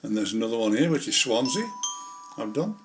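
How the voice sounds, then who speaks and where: A man talks through an informal hands-on demonstration as a hobbyist presenter. His explanation rambles along step by step, in a mid-pitched voice. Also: sing-song, brisk, music in the background. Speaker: model railway hobbyist presenter.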